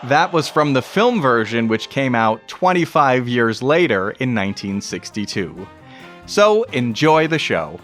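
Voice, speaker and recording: A man hosts with a scripted introduction. His pitch is lively, with faint music and crowd sound behind him. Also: a little slow. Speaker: podcast host.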